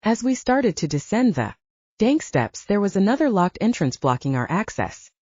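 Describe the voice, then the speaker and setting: A woman's voice: mid-range voice, sing-song, moderate pace, warm tone, slightly rough, dry recording, muffled recording. A woman narrating scripted first-person fiction as an audiobook narrator.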